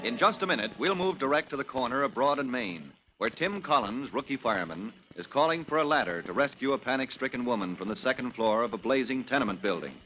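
A man's voice: medium pitch, expressive, quick pace, hardly any pauses. An American man narrating as a stentorian, melodramatic announcer on an old-time radio show. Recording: muffled, noisy old radio recording.

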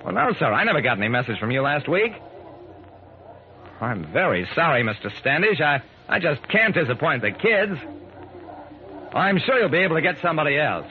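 A man's voice: a little high, sing-song, normal speed, long pauses, narrow, tinny audio. A man acting as an earnest, kindly amateur sleuth who narrates his own story in an old radio drama. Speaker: narrator and lead actor. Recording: noisy, band-limited old radio broadcast.

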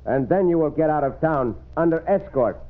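A noisy, tinny old radio recording of a man playing a gallant Mexican cowboy hero. His highish voice swings widely in pitch, commanding and courteous, with a Mexican accent. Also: lively intonation, normal speed, lightly acted. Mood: stern, commanding. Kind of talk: old-time radio western acting.

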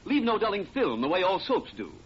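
A man announcing a 1940s radio commercial with emphatic, deliberate, scripted sales delivery. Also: sing-song, breathy edge. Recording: muffled, rumbly, noisy old radio recording.

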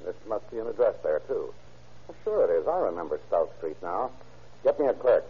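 An actor playing a brisk, commanding police officer in an old-time radio crime drama. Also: male voice, deep voice, big pitch swings, breathy edge, exaggerated voice. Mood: intent, puzzling out. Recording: dull, lo-fi vintage radio recording.

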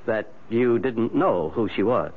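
An animated, authoritative radio doctor. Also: male voice, lowish voice, unhurried. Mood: firm, probing. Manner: firm, probing, direct. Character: brisk, authoritative male doctor, frank and familiar. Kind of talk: 1970s radio drama acting. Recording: dull, noisy old radio recording.

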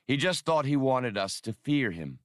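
Gruff old sea beast, played by a man, wry, folksy, clipped phrases, animated intonation, audiobook adventure. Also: medium pitch, normal speed, dry recording, studio quality, voice pushed up, lightly acted. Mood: gruff, philosophical. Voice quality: gurgling. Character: battle-scarred one-eyed old bull sea creature, gruff, wry.

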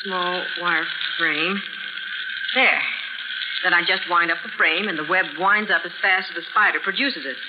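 A woman's voice: fairly low pitch, steady tone, measured pace, close to an everyday voice. An actress playing a polite, matter-of-fact woman business owner in a 1940s radio drama, explaining things plainly. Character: businesslike woman who owns a spider web business. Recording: muffled, noisy 1940s radio recording.

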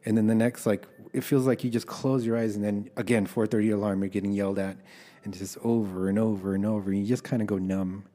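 A man's voice: on the low side, steady tone, fast, mellow. A middle-aged man from Los Angeles with an American accent, telling stories about himself in a candid, conversational way as an interview guest. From Los Angeles, United States. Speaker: guest, army veteran.

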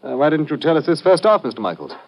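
An actor playing a polite but firm British police inspector, formal and clipped, in an old radio detective drama. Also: male voice, a little high, big pitch swings, fast talker. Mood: firm, courteous. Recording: muffled, narrow old radio recording.

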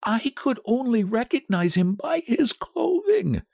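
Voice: medium pitch, big pitch swings, unhurried, creaky, a little mellow, no echo, studio quality, voice pushed up, exaggerated voice. A narrator voicing a fearful old husband, faltering and unsteady, in a dramatic story reading. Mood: shaken, stammering. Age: older. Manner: quavering, stammering, unsteady.

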